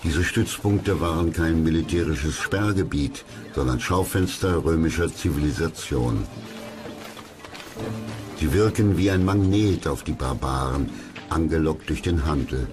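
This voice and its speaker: A man's voice: very deep voice, a little slow, music in the background. A man reading scripted documentary narration.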